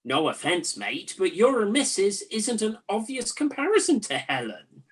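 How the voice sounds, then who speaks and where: Bored sentry in a spoof radio play acted: husky, very sing-song delivery, blunt matey mockery. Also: mid-range voice, wildly swooping pitch, a little slow, heavily acted. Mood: mocking. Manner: dry, mocking, matey banter. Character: bored, teasing, blunt Trojan soldier on night watch. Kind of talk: comic radio play dialogue.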